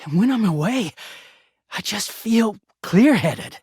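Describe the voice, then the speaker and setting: A man's voice: high voice, expressive, slow pace, husky, no echo, clean studio sound, full character voice. A man voicing a bitter, exasperated, sardonic, foul-mouthed young male living-laundry character in a video game. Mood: weary, resigned. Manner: sardonic, foul-mouthed, swinging from muttering to shouting.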